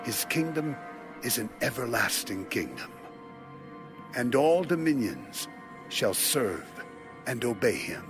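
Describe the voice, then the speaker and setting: A man narrating an audio Bible in a solemn, dramatic, deliberate way. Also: medium pitch, big pitch swings. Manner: measured, deliberate delivery. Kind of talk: solemn dramatic scripture reading. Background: music bed.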